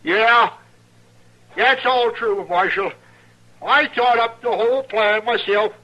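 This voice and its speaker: An actor playing a meek, henpecked drunkard with a folksy, hesitant drawl in an old-time radio western. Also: male voice, high-pitched, takes it slowly, sharp tone, full character voice. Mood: resigned. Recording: dull vintage radio recording.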